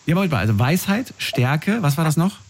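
A man's voice: a little high, very animated, a little fast. A man hosting an evening radio call-in show, asking callers friendly, probing questions. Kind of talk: casual call-in radio talk.

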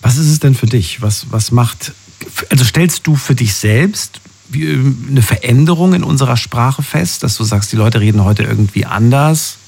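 A man's voice: medium pitch, moderate pace, gravelly, a little mellow, slightly rough. A man hosting a late-night radio call-in show from a studio, reflecting back what is said and asking questions. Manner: reflects callers' points back, asks questions. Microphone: studio microphone.

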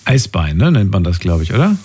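A man's voice: sits low, sing-song, brisk, dark tone, breathy edge. A man hosting a radio call-in, chatting casually and informally with callers on first-name terms. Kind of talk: casual phone-in talk with callers.

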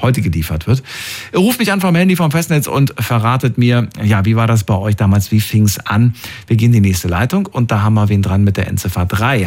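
A man in his late thirties from Thuringia hosting a radio phone-in, chatting and asking questions in a lively way. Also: lowish voice. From Thuringia, Germany. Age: late 30s. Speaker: radio call-in host. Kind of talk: casual phone-in chat. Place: radio studio.

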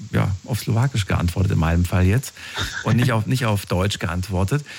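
A man's voice: mid-range voice, vocal fry, a little mellow. A man interviewing someone in a conversational, informal way, often adding little tag questions. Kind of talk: conversational interview about personal experiences.

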